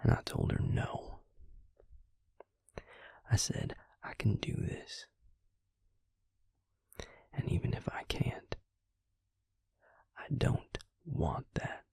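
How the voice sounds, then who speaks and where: A man playing a bitter, wounded vampire recalling his trauma in an intimate audio roleplay, speaking in a halting, breathy whisper. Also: very high-pitched, wildly swooping pitch, no room sound, clean studio sound, exaggerated voice. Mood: distressed, hushed. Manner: halting, hushed, with heavy pauses. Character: wounded, bitter male vampire, once a healer, recounting trauma.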